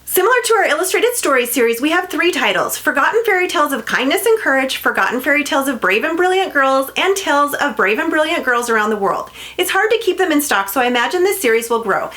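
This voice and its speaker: Female promo narrator, high register, swooping intonation, upbeat scripted pitch for a family audience. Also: very animated, fast, few pauses, pushed and bright. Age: adult, parent of a school-age child. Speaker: children's book seller and reading coach.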